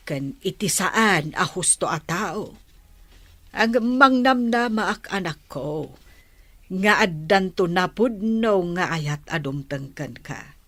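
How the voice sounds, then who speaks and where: A gentle Filipino mother in a radio drama. Her voice sits low and she takes her words slowly, in a close, dry studio sound. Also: animated, dry recording, heavily acted. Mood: tender, resigned. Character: gentle, slow-spoken mother counseling her grown daughter.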